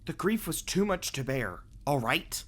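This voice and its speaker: A man's voice: a little high, very animated, unhurried, a bit bright, exaggerated voice. A man voicing a genteel, plaintive sentient star who rules over vampires, in an audio roleplay. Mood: anguished, confessing. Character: guilt-ridden, lofty sentient star, reluctant ruler of vampires. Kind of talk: ASMR audio roleplay acting.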